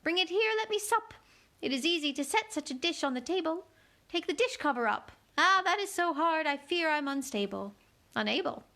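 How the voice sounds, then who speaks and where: A woman voicing a gentle, dotty queen with a soft cooing voice, reading a storybook aloud. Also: high-pitched, animated, moderate pace, pitched above the performer's own voice, heavily acted.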